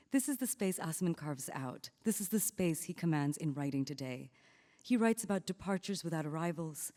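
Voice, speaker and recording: A woman giving a prepared, measured introduction of an author on stage. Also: lowish voice, warm tone. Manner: measured, formal delivery. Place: auditorium on stage. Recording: hall reverb, stage mic.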